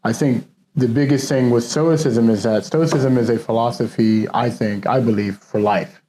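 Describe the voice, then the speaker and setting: Mellow-voiced man musing about philosophy. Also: mid-range voice, fairly even intonation, moderate pace, slight background noise. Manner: reflective, loose, many "you know" fillers. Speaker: interview guest, mentor. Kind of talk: casual conversational interview.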